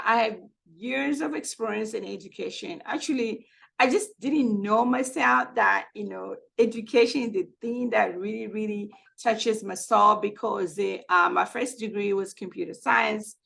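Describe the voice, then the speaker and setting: A woman's voice: mid-range voice, creaky, a bit bright. A middle-aged woman from Tanzania, a guest speaker who founded a Swahili school, talking casually with frequent restarts and laughs. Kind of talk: casual talk to an online community. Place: home in Houston.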